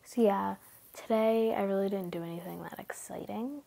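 Stuffy-nosed teen girl, creaky and rambling. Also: medium pitch, fairly even intonation, moderate pace. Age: teens. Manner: rambling, filler-heavy, often hushed. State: stuffy nose from a cold. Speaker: vlogger. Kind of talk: casual late-night chat to camera. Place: bedroom at night, sitting on bed.

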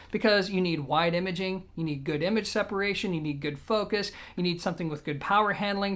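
Higher-voiced man reviewing audio gear. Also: a bit bright. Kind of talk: casual product review to camera. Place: small room indoors.

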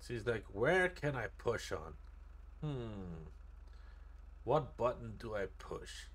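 A man's voice: medium pitch, sing-song, slow pace, a bit bright. A laid-back man chatting casually in online game roleplay, swearing freely into a close microphone. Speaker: live streamer playing a medic in online roleplay.

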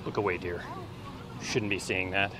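A man's voice: deep voice, flat delivery, normal speed, lightly acted. A middle-aged man playing a disapproving, protective father in an improvised parody film, recorded outdoors. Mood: stern disapproval. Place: outdoors at a lakeside. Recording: noisy outdoor film audio.

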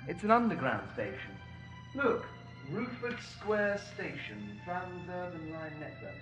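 A sardonic young sidekick played by a man, sceptical and questioning. The voice is fairly high and moves around a lot, in a roomy audio drama recording. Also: big pitch swings, measured pace, highs cut off. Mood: puzzled, insistent. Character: dry, sceptical young male travelling companion. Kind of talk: fan audio drama acting. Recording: echoey, with music behind.

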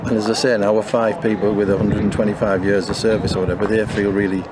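A man's voice: lowish voice, flat delivery, fast talker, over a noisy crowd, rough recording. An older man, a bowling club committee member, speaking in an outdoor interview with many fillers and restarts. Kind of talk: interview talk.

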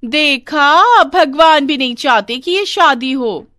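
A woman's voice: high voice, expressive, takes it slowly, bright, forceful tone, pitched above the performer's own voice, heavily acted. A woman voicing a spiteful, scheming older aunt for a cartoon story, taunting in a theatrical, gloating way.

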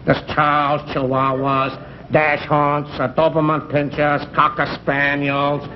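A man playing an eager, touchy amateur animal imitator in a 1950s television comedy sketch, deadpan and literal. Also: a little high, takes it slowly. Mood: indignant. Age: middle-aged. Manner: deadpan, literal, indignant when asked vaguely. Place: stage before studio audience. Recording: muffled 1950s TV kinescope, noisy, loud audience.

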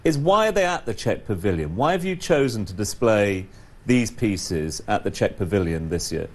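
A man in his forties co-hosting a morning show, chatty and lively. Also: lowish voice, normal speed. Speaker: TV presenter. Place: TV studio set.

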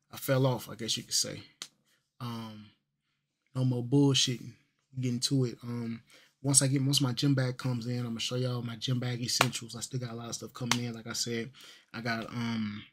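A laid-back man rambles through a casual vlog, full of fillers and slang. His voice sits at an ordinary middle pitch. He talks into a desk mic in a small bedroom. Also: little pitch movement, normal speed. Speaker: vlogger. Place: small bedroom with gaming setup. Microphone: desk microphone.

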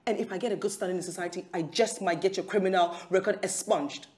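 An actress playing a defiant, calculating wife and mother in a screen drama, arguing with a sharp tongue. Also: on the low side, fast talker, natural voice. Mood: defiant, resolute.

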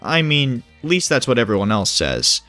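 A man's voice: medium pitch, big pitch swings, normal speed, pushed and bright, no room sound, faint music behind. An actor playing a bored, easygoing male minder with an offhand, rambling manner in a horror audio drama. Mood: flippant. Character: bored, flippant male babysitter-bodyguard, easygoing oddball.

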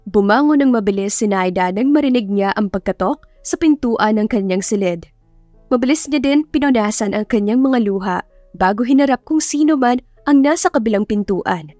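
Female audiobook narrator, fairly high pitch, dramatic story reading, close dry sound with music. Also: lively intonation, normal speed, dark tone, no room sound. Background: background music.

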